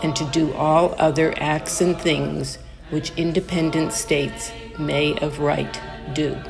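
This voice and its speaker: Low, creaky-voiced woman reading slowly. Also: level tone. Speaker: community reader. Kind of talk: scripted reading of historical document. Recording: music behind the voice.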